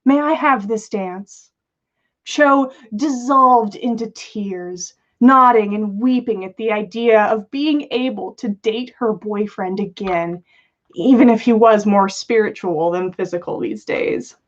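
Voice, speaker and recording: A woman's voice: mid-range voice, unhurried, muffled recording. A woman reading a story aloud for a livestream in an amused, dramatic manner, with small slips. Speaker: podcast host reading listener fan fiction.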